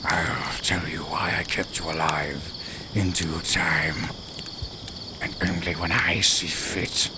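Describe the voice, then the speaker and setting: A man's voice: very deep voice, level tone, slow pace, background noise. A man voicing a haughty, sardonic lone werewolf in an audio roleplay, speaking to the listener in formal, condescending, theatrical phrases. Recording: night forest ambience with crickets, clearly audible. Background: outdoor forest sounds, crickets.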